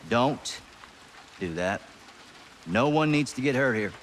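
An actor with a Southern American accent playing a smug, commanding military contractor in a video game, drawling and condescending. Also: male voice, mid-range voice, lively intonation, slow, slight background noise. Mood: cold, controlled. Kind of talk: cinematic video game acting.

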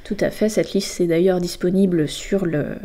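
A woman guest explaining things casually in an interview, with hesitant, halting phrasing. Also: on the low side, fairly even intonation, warm tone. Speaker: guest presenting a volunteer project.

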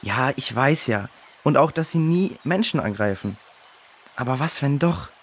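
A man's voice: medium pitch, lively intonation, unhurried, husky. A man playing a puzzled, uneasy boy researcher in a children's audio drama, speaking earnestly and hesitantly.

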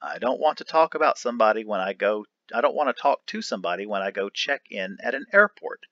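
Man, educational read-aloud, deliberate emphasis, forceful bright tone, dry close sound. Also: lowish voice, sing-song, dry recording, highs cut off. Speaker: reader of an educational article. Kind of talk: read-aloud of an article transcript, announcing each speaker.

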